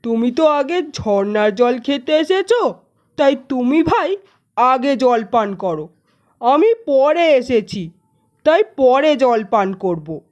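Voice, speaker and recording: Woman as a slow, conciliatory lion king. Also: high-pitched, big pitch swings, a little mellow, lo-fi sound, exaggerated voice. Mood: conciliatory, earnest. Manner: measured, brotherly, reasoning. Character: proud male lion king turned conciliatory. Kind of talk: cartoon fable character voice.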